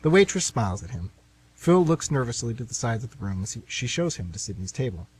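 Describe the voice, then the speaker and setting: A man's voice: medium pitch, sing-song, fairly quick, a little mellow, slightly rough. A man narrating and announcing a scripted radio theatre play.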